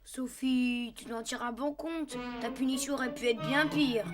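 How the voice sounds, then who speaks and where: A woman playing a calm, sensible little boy with a measured manner in a French cartoon. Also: high voice, over loud music, lightly acted. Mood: reasoning. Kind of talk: French animated series dubbing.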